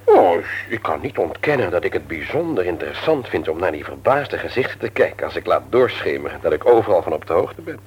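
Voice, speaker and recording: An actor in a Dutch radio play, playing a self-pitying, self-satisfied husband and office boss with a husky voice. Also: male voice, low voice, very animated, brisk, hardly any pauses, a little hiss or hum.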